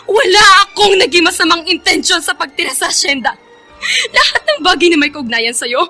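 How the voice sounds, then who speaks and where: A very high, bright young heroine with a Filipino accent. She is meek and pleading in radio melodrama style, her pitch moving freely over faint music. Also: expressive, a little fast, exaggerated voice. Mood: tearful protest. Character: meek, pleading, kind-hearted young woman.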